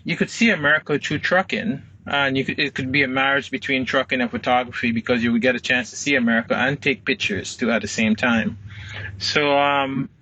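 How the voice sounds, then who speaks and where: Guest, middle-aged man, Guyanese accent, relaxed storyteller, bright tone. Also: medium pitch, fairly quick, lo-fi sound. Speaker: guest, photographer. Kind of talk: casual interview answers.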